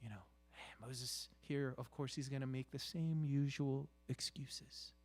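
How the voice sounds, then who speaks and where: A man preaching in a conversational style, halting and repeating himself, with rhetorical questions and emphatic phrases that drop into hushed asides. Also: mid-range voice, fairly even intonation, soft background music. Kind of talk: conversational sermon.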